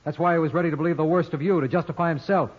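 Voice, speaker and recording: An American actor playing a tough, sardonic defense lawyer with clipped, dry wisecracks in an old radio crime drama. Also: male voice, on the high side, steady tone, very fast, close to an everyday voice. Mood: sardonic, impatient. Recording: muffled old radio recording.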